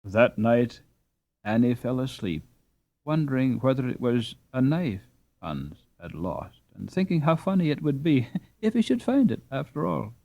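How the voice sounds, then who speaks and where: A man reading a classic children's novel aloud as a slow, expressive audiobook narrator. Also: medium pitch, gravelly. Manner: slow, expressive, lively intonation. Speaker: audiobook reader. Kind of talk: scripted storybook narration.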